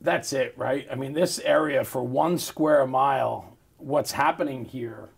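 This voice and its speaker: American guy hosting an interview, husky and crackly voice, chatty style. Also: mid-range voice, measured pace. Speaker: interview host. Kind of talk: conversational interviewing.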